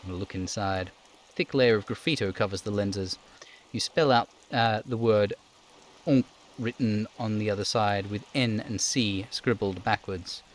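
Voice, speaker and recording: Low voiced guy streaming a game, reads narration out loud, chats a bit, rain noise behind. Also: unhurried, poor audio. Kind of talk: live game narration and casual stream chat.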